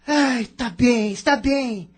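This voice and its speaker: A woman's voice: on the high side, slow, a little mellow, breathy edge. A woman playing a rebellious, selfish teenage boy, an irritable dreamer with a curt, complaining way, in an audio drama. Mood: dreamy, explaining.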